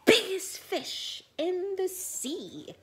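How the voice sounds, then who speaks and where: Woman playing a little storybook fish, brave and eager, very high pitch, swooping, exclamatory delivery. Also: very animated, drawn-out words, a bit bright, heavily acted. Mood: excited, triumphant.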